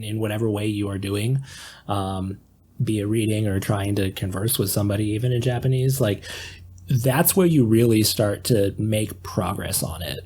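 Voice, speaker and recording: A man chatting casually on a podcast, speaking in a halting, reflective way. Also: on the low side, darkish tone, a little hiss or hum. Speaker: podcast panelist.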